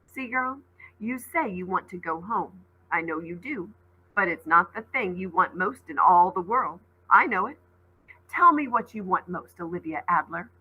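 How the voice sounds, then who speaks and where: A woman's voice: on the low side, lively intonation, normal speed, husky, narrow, tinny audio. A woman voicing a sinister, boyish supernatural trickster in a storybook, taunting and smug in a theatrical way. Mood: coaxing, menacing.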